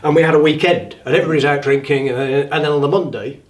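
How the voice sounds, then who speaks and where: A man's voice: a little high, fairly even intonation, fast talker. Enthusiastic man, slightly distant mic. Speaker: theatre member interviewed. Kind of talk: informal interview talk.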